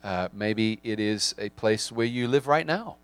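A man's voice: fairly low pitch, lively intonation, clean tone, no echo. Reflective South African man preaching. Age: 40s. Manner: reflective, with frequent restarts and pauses. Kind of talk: sermon.

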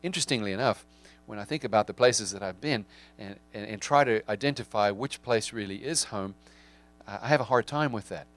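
A man in his forties with a South African accent, giving a reflective sermon with frequent restarts and pauses. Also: on the low side, soft background music. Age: 40s.

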